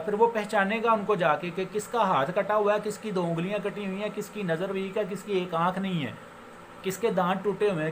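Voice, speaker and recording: A man with a Pakistani accent giving an informal law lecture, explaining with examples and switching into English legal phrases, which he reads slowly and haltingly. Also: high-pitched, low-quality recording. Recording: noticeable background noise.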